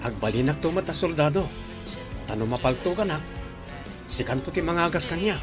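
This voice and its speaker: A man's voice: on the high side, expressive, a little fast, music in the background, natural voice. Man acting a playful smitten schoolboy. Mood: teasing. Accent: Filipino. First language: Ilocano. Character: teasing, smitten teenage schoolboy with soldier dreams. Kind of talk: radio drama acting.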